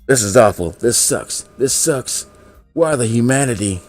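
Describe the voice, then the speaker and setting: A paranoid male student, acted out by a man, rants like a panicky conspiracy nut in a visual novel. The voice is pushed higher and keeps circling back on itself in alarm. Music plays behind it. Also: moderate pace, a little mellow, natural voice. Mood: panicked despair. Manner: alarmed, ranting, repeats himself.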